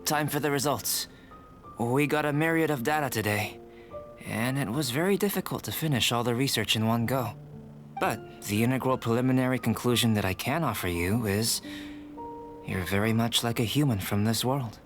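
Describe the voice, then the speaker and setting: A man playing a calm young alchemist scholar in an anime-style video game, speaking in a measured, formal, reflective way. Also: medium pitch, soft background music, lightly acted. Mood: composed.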